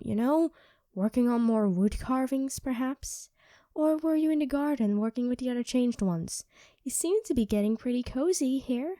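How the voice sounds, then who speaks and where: A woman voicing an irritable young female vampire who craves blood, speaking in a sharp, sarcastic way and often dropping to a whisper. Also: on the high side, no room sound, studio quality. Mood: evasive. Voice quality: drops into soft whispers. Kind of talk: ASMR roleplay.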